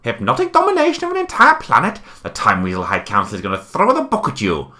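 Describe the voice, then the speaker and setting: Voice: mid-range voice, huge pitch swings, fast, bright, forceful tone. A performer voicing a blustering, clueless weasel time traveller in a comic sci-fi audio drama, chatty and pompous. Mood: stern. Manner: pompous, chatty, self-assured.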